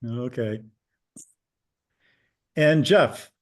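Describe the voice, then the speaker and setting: A man hosting a video call who calls on people by name and often clears his throat. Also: mid-range voice, extremely slow. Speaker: meeting host.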